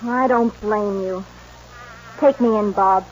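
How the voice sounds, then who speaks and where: An actress playing a principled, firm young rancher's daughter in a 1940s radio western. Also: female voice, medium pitch, slow, close to an everyday voice. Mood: dismayed. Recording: dull, noisy old radio recording.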